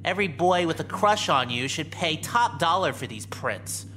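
A man's voice: a little high, expressive, normal speed, pushed and bright, soft background music. A man playing a creepy, vindictive nerdy teenage anime fan in a visual novel, talking in a pompous, theatrical, cartoonish way. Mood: smug, taunting. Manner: pompous, theatrical, florid wording.

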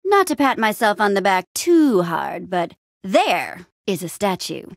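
A woman playing an upbeat young adventurer and town hero in a video game, friendly, casual, expressive and quippy. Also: mid-range voice, measured pace, gravelly, dry recording, clean studio sound. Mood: proud, admiring. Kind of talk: video game character acting.

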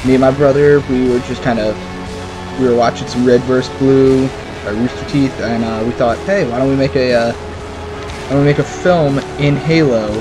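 A man's voice: mid-range voice, fairly even intonation, normal speed, poor audio. Young male creator, earnest and hesitant, music bed. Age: young adult. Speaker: series creator. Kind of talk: casual interview.

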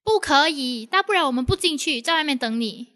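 A woman's voice: sits high, lively intonation, brisk, sharp tone. A woman acting an outspoken, protective young friend in a radio drama. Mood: scolding.

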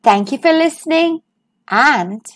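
A woman host reading a children's picture book aloud in a slow, sing-song storytime voice, recorded as a close voice-over. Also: sits high, animated.

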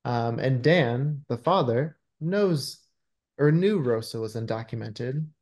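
A man's voice: medium pitch, expressive, slow, darkish tone. A man in his thirties giving earnest, conversational explanations as a screenwriter in a video-call interview. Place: indoor room at home, video call. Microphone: video call microphone.

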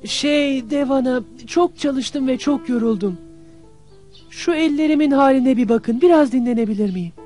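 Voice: sits high, normal speed, warm tone, soft background music, natural voice. A performer voicing a clever, cheeky young boy in an old fairy-tale cartoon, polite and earnest with a crafty streak. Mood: eager, wheedling.

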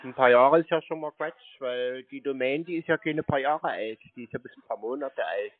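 A man's voice: mid-range voice, normal speed, no room sound. A man arguing casually on a phone call in a matter-of-fact, contradicting way. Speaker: scam-baiter taking a call. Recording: narrow phone-like sound, dry.

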